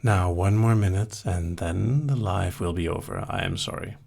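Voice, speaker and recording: Low gravelly man. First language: Dutch. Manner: calm, measured, dry humor. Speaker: hypnosis podcaster answering live chat questions. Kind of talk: casual live Q&A reading chat questions.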